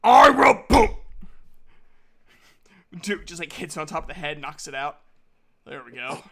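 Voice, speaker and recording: High, bright-voiced male game master. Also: fairly quick. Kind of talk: casual improvised game narration.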